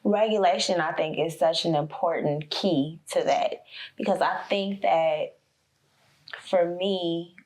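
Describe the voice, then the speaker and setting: A woman in a casual roundtable chat, speaking earnestly and in a measured way. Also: lowish voice, a little mellow.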